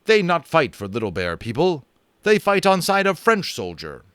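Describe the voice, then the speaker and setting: A man voicing a stern, proud Native chief who speaks gruffly in clipped, broken phrases. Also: a little high, wildly swooping pitch, normal speed, a bit bright, dry recording, studio quality, pitched above the performer's own voice.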